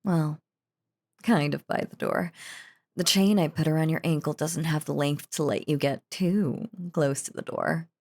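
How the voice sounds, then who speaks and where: A woman acts out a possessive yandere wife in an intimate audio roleplay. Her voice sits a little low for a woman and crackles with fry. She dotes and gushes over her husband, sweetly menacing and cheerfully unhinged. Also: measured pace, a little mellow. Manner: doting, gushing, cheerfully unhinged. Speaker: voice actor.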